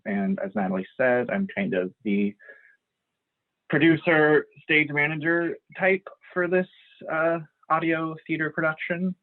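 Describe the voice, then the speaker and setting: A man's voice: fairly high pitch, a little slow. A man casually introducing himself as a panel guest over a narrow video call connection. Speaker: actor and producer, panel guest.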